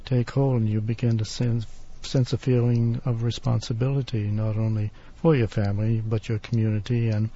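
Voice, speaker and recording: A man speaking as a guest on a discussion panel, halting often and filling pauses with "um". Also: mid-range voice, little pitch movement, warm tone. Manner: halting, many ums. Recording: dull lo-fi broadcast recording.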